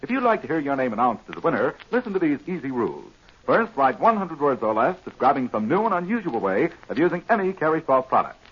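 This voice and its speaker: A man's voice: fairly high pitch, sing-song, fast talker, a bit bright, breathy edge. A man reading sponsor messages as an announcer in 1940s radio advertising style. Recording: dull 1940s radio recording.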